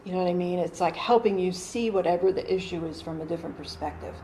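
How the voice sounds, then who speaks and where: A woman's voice: lowish voice, fast, warm tone. A woman in her forties explaining her channeling to a small group in a living room, chatty, with many fillers and restarts, recorded on a phone camera across the room. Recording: roomy with faint background hum.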